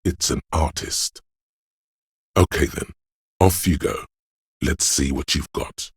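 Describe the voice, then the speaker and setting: A gravelly, bottomless-voiced slick hustler. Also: male voice, slow, no echo, studio quality, exaggerated voice. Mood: showy. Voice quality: gravelly, very deep. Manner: smooth-talking, theatrical, cocksure. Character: slick, flashy male hustler inner voice coaching sales. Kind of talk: video game voice-over of an inner voice.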